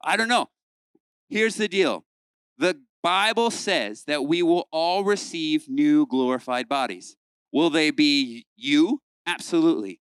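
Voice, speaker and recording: A young man gives a lively, joking sermon to a youth group in a fairly high, crisp voice. The sound is close and dry, with no room around him. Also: a little slow, dry recording. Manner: lively, playful, acts out quoted voices. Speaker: youth pastor.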